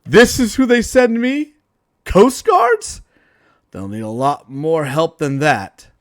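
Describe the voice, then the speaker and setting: Man playing a loud gloating supervillain. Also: a little high, very animated, slow pace, no room sound, studio quality, pitched above the performer's own voice, full character voice. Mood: mocking glee. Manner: mocking, boastful. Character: Voltage, gloating vengeful male lightning supervillain.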